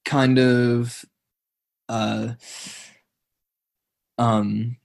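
A man's voice: mid-range voice, flat delivery, very slow, creaky, darkish tone. A man casually discussing music in a conversation, speaking hesitantly with frequent fillers. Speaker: album review co-host.

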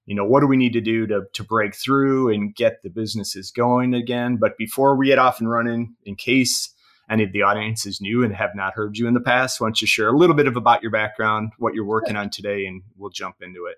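A man's voice: on the low side, steady tone, a little fast, fairly bright tone. A man hosting a podcast interview, upbeat and joking with his guest. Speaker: podcast host. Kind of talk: casual interview chat.

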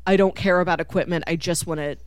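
Chatty, animated woman in a dry, close recording. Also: lowish voice, fast, clean tone, no echo. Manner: animated, chatty, acts out imagined reactions; laughs through her words. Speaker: podcast co-host. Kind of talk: casual film discussion.

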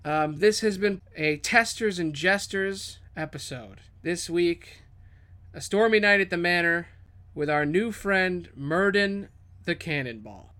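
A man's voice: high-pitched, lively intonation, measured pace, bright, forceful tone, smooth, no room sound. Male podcast host, informal, casual talk addressed to listeners.

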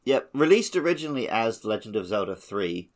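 A man's voice: on the low side, sing-song, fairly quick. A man chatting casually as a co-host on a podcast.

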